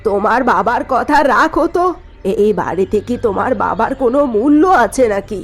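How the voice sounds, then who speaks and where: A woman voicing a scheming middle-aged mother-in-law in a cartoon story, talking in a sharp, dismissive way. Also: mid-range voice, lively intonation, fairly quick, breathy edge, faint music behind. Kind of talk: cartoon voice acting.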